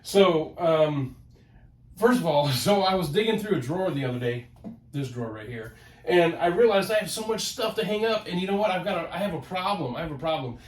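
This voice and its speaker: Nasal, excitable man in a roomy office. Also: a little high, fairly quick. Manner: excitable, rambling, repeats words. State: nasal from a head cold. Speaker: collector vlog host. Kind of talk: casual rambling vlog talk. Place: home office, ten-foot ceiling. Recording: roomy home office, mic at a distance.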